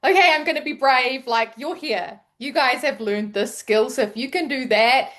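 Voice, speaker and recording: A woman's voice: sits high, normal speed, fairly bright tone. A woman co-hosting a casual group talk, speaking in an encouraging, upbeat way with many fillers. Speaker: co-host educator. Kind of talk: casual motivational chat.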